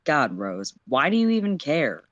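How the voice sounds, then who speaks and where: A flippant, wisecracking teenage boy, acted by a man in a story reading. His delivery is very animated in pitch and dodges with slangy teasing. The sound is dry, with no room around it. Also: a little slow, no room sound, muffled recording, full character voice.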